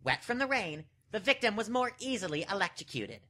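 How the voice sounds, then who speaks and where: Woman doing a smug prosecutor, bright cutting voice, super animated, theatrical and condescending. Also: medium pitch, brisk. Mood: smug, explanatory. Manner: theatrical, self-assured. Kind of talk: dramatic fan dub voice acting.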